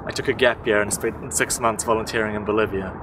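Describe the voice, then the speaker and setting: A young man from New Zealand speaks plainly and steadily about his volunteering. He is recorded outdoors, with traffic and street noise clearly heard behind him. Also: fairly low pitch, steady tone, fast talker. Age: young adult. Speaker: university student. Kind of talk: short testimonial snippets.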